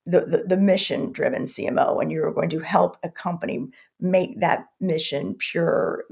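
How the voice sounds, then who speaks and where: A woman's voice: fairly low pitch, steady tone, normal speed, creaky, darkish tone, husky. A woman answering as a podcast guest in conversation, speaking emphatically and often repeating words as she goes. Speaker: podcast guest, marketing executive.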